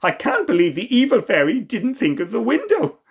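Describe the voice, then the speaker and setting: A man voicing a confident, helpful girl fairy with a brisk, reassuring manner. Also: very high voice, wildly swooping pitch, husky, voice pushed up, exaggerated voice.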